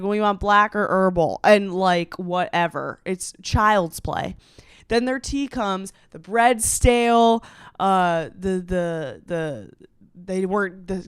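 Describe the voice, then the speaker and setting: A young American woman hosts a casual comedy podcast, speaking in a smooth, clean voice. Her delivery is slangy and sarcastic, leaning hard on key words for drama. The sound is dry and close, with no room on it. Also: fairly high pitch, no echo. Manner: animated storytelling, slangy, sarcastic, dramatic emphasis.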